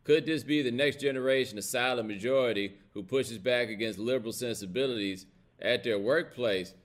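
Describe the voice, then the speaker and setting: A man's voice: mid-range voice, a little fast. Blunt American man, casual live commentary. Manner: blunt, colloquial, emphatic, checks in with listeners. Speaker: host of a live commentary show. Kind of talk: casual opinionated livestream commentary.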